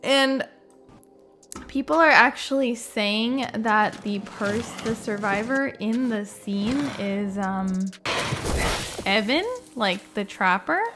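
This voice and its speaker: A woman's voice: medium pitch, slow pace, fairly bright tone. A woman giving casual, chatty gaming reaction commentary in an informal, tentative way, leaning on filler words. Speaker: gaming video creator, host. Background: background music.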